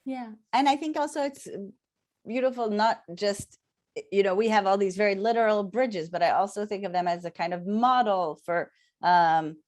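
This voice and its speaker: A woman, a Hebrew speaker, talking thoughtfully over a video call with many pauses and fillers. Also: mid-range voice, darkish tone. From Israel. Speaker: academic interviewer. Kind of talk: reflective conversation.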